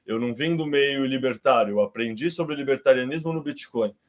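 A man in his thirties from Brazil with a Brazilian Portuguese accent, talking as a podcast guest in an unhurried, explanatory way with frequent restarts. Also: medium pitch. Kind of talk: casual conversation.

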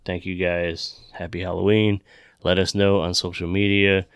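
Very deep-voiced casual man. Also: level tone, normal speed, clear, resonant tone, no room sound. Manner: informal, swearing, rambling. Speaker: co-host of a Halloween podcast. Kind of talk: casual chat, signing off a show.

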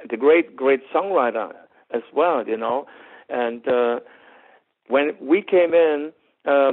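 A man with a German accent, a rock singer answering interview questions in a rambling way, with many fillers and restarts and easy laughter. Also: medium pitch. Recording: tinny phone-line audio.

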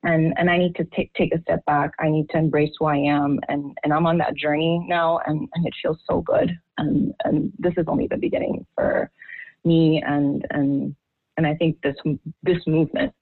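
Low-voiced woman on a tinny line. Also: level tone, dry recording. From Haiti. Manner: heartfelt, halting with many restarts. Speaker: guest. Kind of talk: candid personal testimony.

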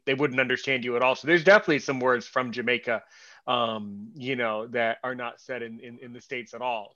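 Male interviewer, bright and forceful voice, asks with curiosity and stumbles over restarts, muffled recording. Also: medium pitch. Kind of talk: casual interview chat.

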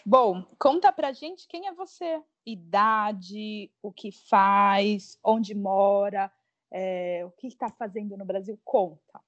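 A woman's voice: mid-range voice, takes it slowly, no room sound, dull audio. A woman co-hosting a podcast in a casual, conversational style. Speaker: podcast co-host.

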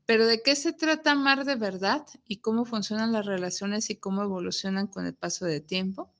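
A woman's voice: medium pitch, brisk. A woman with a Mexican accent hosting a talk show, presenting conversationally to her audience in an explanatory way. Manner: explanatory, poses rhetorical questions. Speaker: host of an interactive talk show.

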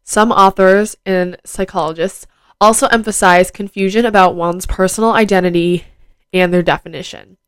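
A woman's voice: medium pitch, fairly even intonation, normal speed, smooth, no room sound. A fifteen-year-old girl hosting her own podcast, chatting casually with lots of fillers, her voice nasal and stuffed up from a head cold. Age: teens. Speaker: podcast host. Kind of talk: casual solo podcast chat. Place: at home. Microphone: plugged into phone.

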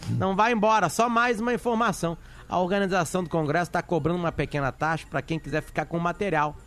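High-voiced man telling a playful joke. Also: fast talker, fairly bright tone. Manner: playful, acts out both sides of a joke dialogue. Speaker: joke teller on a live stream.

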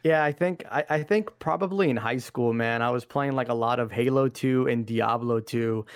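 A young man from Iraq chatting as a podcast guest, easygoing and slangy, dropping "man" and "dude" into most sentences. His voice is mid-pitched and recorded close and dry. He talks casually with frequent fillers. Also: normal speed, clear, resonant tone, no room sound. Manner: laid-back, slangy, says "man" and "dude" often. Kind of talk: casual interview chat.

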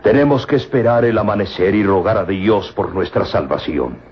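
A man's voice: on the low side, a little hiss or hum, close to an everyday voice. A man acting in an old-time radio drama as an anxious older European professor and father, speaking hesitantly. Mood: grave, worried. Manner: hesitant, halting delivery. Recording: muffled old radio recording, music behind.

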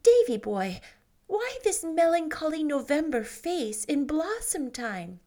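A woman voicing an imaginative, dreamy young woman who speaks in a lively, romantic and gently teasing way. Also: high-pitched, takes it slowly, voice pushed up, exaggerated voice.

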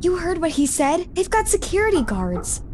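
A woman's voice: very high voice, big pitch swings, moderate pace, darkish tone, slight background noise. An actress in an audio drama playing an anxious, jumpy teenage girl with an urgent, fretful manner.

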